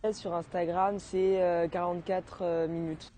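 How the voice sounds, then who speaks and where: A teenage girl answering interview questions casually, pausing often with fillers. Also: fairly low pitch, little pitch movement, warm tone, slight background noise. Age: teens. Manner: hesitant, many fillers.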